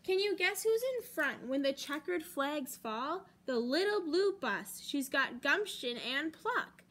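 A young American woman reading a picture book aloud to children as a storytime host, with deliberate, dramatic emphasis on key words. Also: high voice, lively intonation, fairly bright tone. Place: library children's room, indoors.

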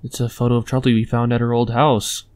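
A man's voice: mid-range voice, little pitch movement, fast talker, a little mellow, natural voice. A young man acting an earnest, anxious, determined investigator in a comic dub. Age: young adult.

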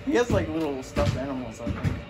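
A man's voice: fairly high pitch, sing-song, fast. Young man bantering under blaring music. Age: young adult. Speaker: lifter, vlogger's friend. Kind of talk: casual banter. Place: outdoor makeshift gym. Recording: very loud music, caught on camera. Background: very loud music.

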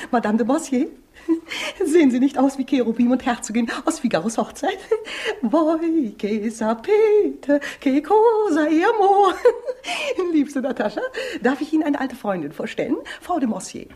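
A woman's voice: high voice, big pitch swings, moderate pace, mellow. A woman playing a gushing, theatrical old spinster landlady in a 1960s radio drama, playful and sing-song. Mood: coy, effusive. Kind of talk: 1960s German-language radio drama acting.

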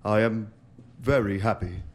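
A man playing a gentle, grieving father who consoles his family solemnly and haltingly. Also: on the low side, close to an everyday voice. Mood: tender, mournful.

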